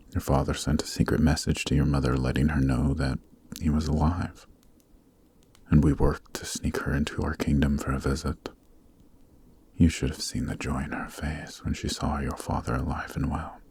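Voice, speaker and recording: A man playing a noble, gentle demon king in an ASMR roleplay, speaking in a measured, soothing near-whisper. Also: very deep voice, slight background noise. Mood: fond. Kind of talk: ASMR roleplay, soft dramatic acting.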